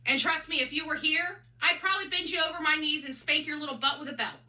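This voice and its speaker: A woman's voice: high voice, fast talker, sharp tone. A brash, foul-mouthed woman on a confrontational, emphatic rant. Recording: echoey room sound.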